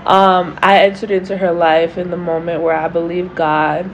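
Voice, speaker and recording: A woman with a raspy voice co-hosting a podcast, talking in a loose, casual way about faith and friendship. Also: on the low side, little pitch movement, a little hiss or hum. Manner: unhurried, loose, lots of "like" and "you know". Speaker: podcast co-host. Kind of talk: casual faith testimony chat.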